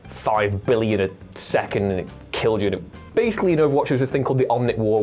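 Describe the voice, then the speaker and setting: A man's voice: mid-range voice, darkish tone. Rambling, enthusiastic man. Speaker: panellist on a gaming show. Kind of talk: casual opinionated chat.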